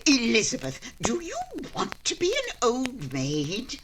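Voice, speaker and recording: A woman playing a bossy, excitable middle-aged mother with imperious, dramatic delivery in a period radio comedy. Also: on the high side, measured pace, noisy background. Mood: indignant.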